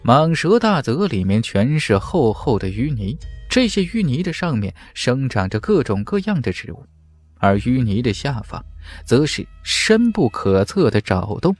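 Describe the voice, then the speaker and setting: A man's voice: mid-range voice, sing-song, a little slow, darkish tone. A man reading scripted fantasy narration dramatically as an audiobook narrator. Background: background music.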